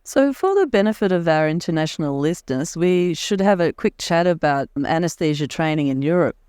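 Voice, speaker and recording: Smooth, clear-toned woman co-hosting a conference podcast. She talks in an understated, matter-of-fact way, her voice close and dry on the mic. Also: low voice, lively intonation, brisk, darkish tone, dry recording. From Australia. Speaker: co-host.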